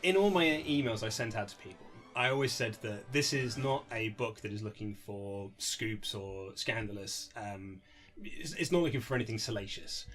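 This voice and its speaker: A man's voice: mid-range voice, a bit bright. Male author, informal interview guest, chatty and emphatic, faint music beneath an amateur recording. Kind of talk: casual interview chat.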